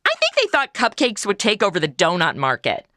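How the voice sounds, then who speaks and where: A woman's voice: mid-range voice, huge pitch swings, fairly quick, pushed and bright, no echo, studio quality. A woman playing a sassy, food-obsessed modern young woman in a comedy audio drama, cracking snarky wisecracks.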